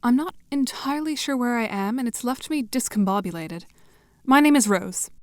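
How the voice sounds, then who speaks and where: A woman's voice: fairly high pitch, animated, moderate pace, a little mellow, no room sound, natural voice. A woman playing a short, prim, wordy teenage seer in an audio drama, speaking in a formal, precise and dry way. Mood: prim, puzzled.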